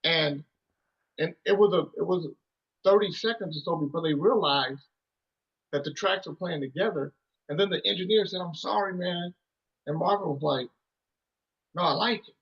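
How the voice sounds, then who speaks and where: Husky-voiced man telling music stories. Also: high-pitched, moderate pace, pauses often, highs cut off. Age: 30s. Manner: rambling, lots of restarts and "you know". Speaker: musician guest. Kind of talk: casual storytelling interview.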